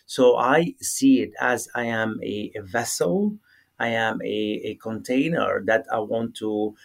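A middle-aged man from Lebanon with a Lebanese accent and Arabic as his first language, answering interview questions earnestly and haltingly as he searches for words. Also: medium pitch, vocal fry. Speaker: yoga teacher, guest.

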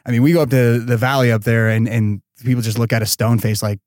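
A man's voice: medium pitch, fairly even intonation, fast, darkish tone, no room sound, studio quality. A man speaking as a startup founder and podcast guest, in casual, enthusiastic, slangy talk peppered with "like" and "you know.".

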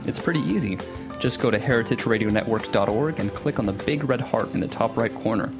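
A woman's voice: rumbling low, expressive, fast, music in the background. A woman performing a scripted, teasing radio promo skit. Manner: breezy, teasing. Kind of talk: scripted comedic promo dialogue.

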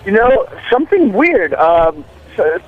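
A man with a slightly high voice phones in to a radio show as a correspondent. He chats casually, with a wry, laid-back manner. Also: very animated, measured pace, narrow, tinny audio. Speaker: call-in correspondent. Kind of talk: casual chat.

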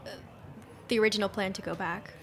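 A woman hosts an interview in a mid-range voice, asking questions with curiosity and giving quick attentive replies. A faint noise lies under her voice. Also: mid-range voice, steady tone, fairly bright tone. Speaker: podcast host.

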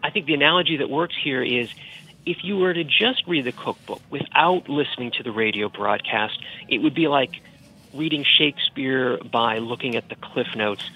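A man's voice: medium pitch, moderate pace, pushed and bright, slightly rough, poor audio. A man speaking as an author guest in a podcast interview, explaining in a chatty, professorial way.

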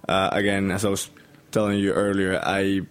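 Low-voiced Colombian-accented young man. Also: monotone, moderate pace, clean tone. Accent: Colombian Spanish. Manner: hesitant, many ums and uhs. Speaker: bartender guest. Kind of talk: casual interview chat.

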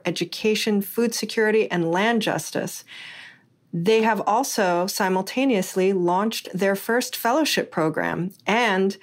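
A woman from Hawaii hosting a podcast interview in an upbeat, conversational way. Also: mid-range voice. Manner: upbeat, conversational, some restarts. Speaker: podcast host. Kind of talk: casual interview chat.

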